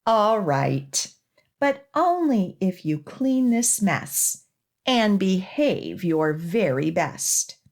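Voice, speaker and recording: Lady reading as fed-up school principal in a kids book, indignant, emphatic, pitch jumping around. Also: sing-song, extremely slow, a little mellow, full character voice. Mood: grudging. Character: exasperated, scolding school teachers and principal.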